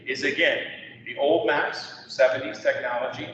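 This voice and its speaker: A man leading a neighborhood meeting, talking loudly and emphatically to the room. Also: mid-range voice, steady tone, normal speed. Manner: loud voice, conversational and emphatic. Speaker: meeting moderator. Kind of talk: public meeting presentation. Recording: echoey hall, far from mic, dull, crowd noise.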